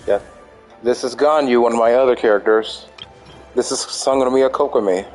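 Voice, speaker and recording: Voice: mid-range voice, a little fast, darkish tone, soft background music. A gamer chatting casually while showing things in a game, in simple, repetitive phrases. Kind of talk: casual gameplay chat.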